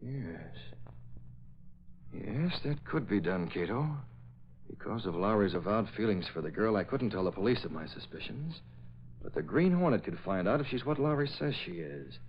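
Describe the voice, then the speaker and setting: Radio-serial hero, low measured voice, suspicious, decisive, reasoning aloud, muffled vintage recording. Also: male voice, sing-song. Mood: thoughtful, resolved. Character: newspaper publisher and secret crimefighter, thoughtful, suspicious, decisive. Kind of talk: 1940s radio acting. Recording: muffled old radio broadcast.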